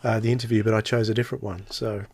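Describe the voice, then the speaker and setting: A man's voice: on the low side, level tone, rapid-fire, gravelly, a little mellow, breathy edge, no room sound. A man co-hosting a podcast in casual, conversational chat. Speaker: podcast co-host.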